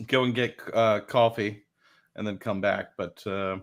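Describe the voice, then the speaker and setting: Low-voiced man rambling on a livestream. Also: fairly even intonation. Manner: rambling, self-correcting, quick bursts. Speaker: livestream host. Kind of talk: casual chat on a livestream.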